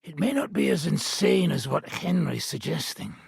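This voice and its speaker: A gruff medieval mercenary leader for a video game. His voice is extremely husky and full of gravel, and it swings widely in pitch. He is blunt, sardonic and swears freely, like a soldier hardened by many battles. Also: sing-song, normal speed, no room sound, heavily acted. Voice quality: raspy, gravelly. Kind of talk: video game character dialogue.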